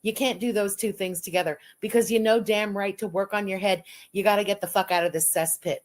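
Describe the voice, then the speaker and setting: A woman's voice: medium pitch, fairly even intonation, a little fast. A woman streamer talking candidly and bluntly on a livestream, with frequent swearing. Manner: blunt and profane. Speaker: online streamer.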